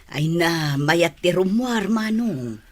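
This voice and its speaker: A woman's voice: on the low side, lively intonation, takes it slowly. A woman playing a practical, middle-aged family woman who scolds and gives orders. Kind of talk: radio drama acting.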